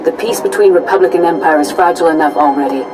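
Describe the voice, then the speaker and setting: A woman plays a diplomatic Jedi grandmaster in a video game. Her voice is a little low, calm and firmly authoritative. She sounds somewhat far off, with music behind her. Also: fast, close to an everyday voice. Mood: calm resolve. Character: serene, firm female Jedi grandmaster, diplomatic peacekeeper.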